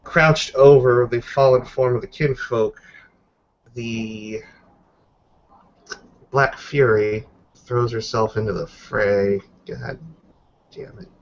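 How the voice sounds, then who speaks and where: Slow, halting man, dull audio. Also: mid-range voice. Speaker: roleplaying game player. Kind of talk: improvised tabletop roleplay narration.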